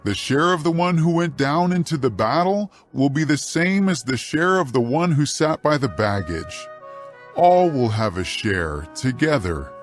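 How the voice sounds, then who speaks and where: An actor playing a fair-minded, commanding Israelite leader, speaking with earnest authority in a dramatized scripture recording. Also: male voice, fairly high pitch, lively intonation, measured pace, faint music behind. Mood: firm, earnest. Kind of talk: dramatized scripture audio acting.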